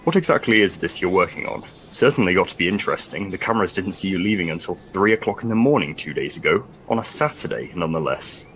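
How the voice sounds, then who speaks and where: An actor playing a stern, dry-witted military commander in an audio drama, speaking with clipped authority. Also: male voice, sits low, lively intonation, fast talker, hardly any pauses, a bit bright, a little hiss or hum. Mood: dry, concerned.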